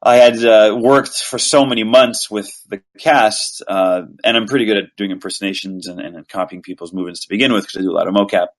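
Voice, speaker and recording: A man from Quebec, a voice actor guest, chatting casually in a relaxed, rambling, self-deprecating way. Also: on the low side, fairly quick, hardly any pauses. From Quebec, Canada. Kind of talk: casual interview chat.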